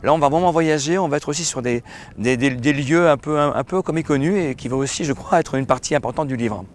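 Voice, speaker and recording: A hoarse man, a crime novelist, answering interview questions casually with many fillers and restarts. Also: a little high, fast, a little hiss or hum. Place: outdoor festival.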